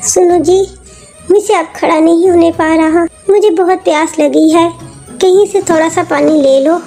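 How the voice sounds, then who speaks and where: A woman's voice: very high-pitched, steady tone, normal speed, dark tone, soft background music. A woman voicing a weary pregnant wife of a stranded traveler in a moral story. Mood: weary pleading. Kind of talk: Hindi moral story voice acting.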